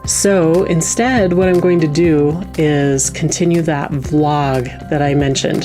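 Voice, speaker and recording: A woman hosting a podcast in an effusive, encouraging, chatty way. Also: deep voice. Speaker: podcast host. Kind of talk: warm, appreciative interview chat and sign-off.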